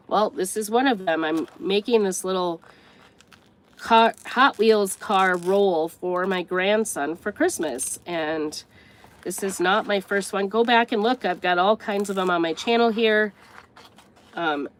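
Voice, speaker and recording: An older woman with a stuffed-up, congested voice, chatting casually and thinking aloud as she works through a craft tutorial. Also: medium pitch. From United States. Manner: chatty, rambling, thinks aloud while working. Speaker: craft vlogger.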